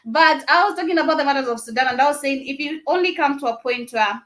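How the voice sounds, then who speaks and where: Woman, Kenyan Luo accent, young adult, radio host, chatty, slightly high voice, studio mic. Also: fast talker, a bit bright. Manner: chatty, reads listener comments aloud, laughs easily. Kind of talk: casual live radio talk.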